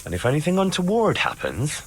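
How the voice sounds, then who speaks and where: A man's voice: on the high side, wildly swooping pitch, a little fast, a little hiss or hum. An actor playing a blunt, wary young man with a dryly sardonic manner in a video game. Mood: firm, wary. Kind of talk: acted video game cutscene dialogue.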